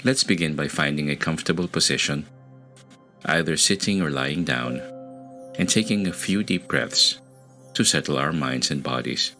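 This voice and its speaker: A man narrating a guided meditation in a measured, deliberate way, pausing between phrases. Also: extremely low pitch, bright, forceful tone. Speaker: meditation podcast host. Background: music under the voice.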